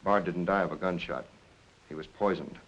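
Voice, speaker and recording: An actor playing a hard-nosed police lieutenant in a 1940s crime film, curt, dry and probing. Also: male voice, sits low, normal speed, fairly bright tone, husky, dull audio, heavily acted. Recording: hissy 1940s film soundtrack.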